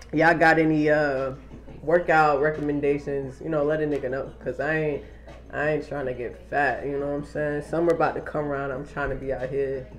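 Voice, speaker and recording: A young man talking casually to a camera held at arm's length in a small wood-paneled room, using loose slang and swearing freely. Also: on the high side. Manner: laid-back slangy talk, swearing. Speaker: vlogger. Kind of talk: casual vlog update to camera.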